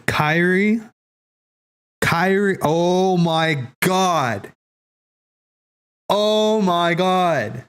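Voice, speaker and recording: A man hosting a watch party, giving casual live reaction commentary in a musing, halting way as he thinks aloud. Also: medium pitch. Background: game soundtrack music.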